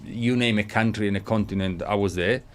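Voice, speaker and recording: A man's voice: on the low side, steady tone. A middle-aged Italian man with an Italian accent, whose first language is Italian, speaks as a guest in an interview. He talks with good humor and pokes fun at himself, often restarting his sentences. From Italy. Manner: good-humored, self-deprecating, halting with restarts. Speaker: airline general manager, guest. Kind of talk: interview chat.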